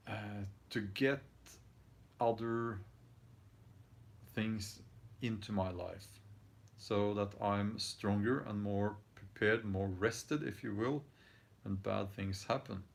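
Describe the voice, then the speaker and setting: A man in his forties with a Norwegian accent, hosting his channel and reading out viewer comments in casual chat, thoughtful and halting with many ums and restarts. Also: lowish voice, gravelly. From Norway. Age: 40s. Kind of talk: casual live-stream chat.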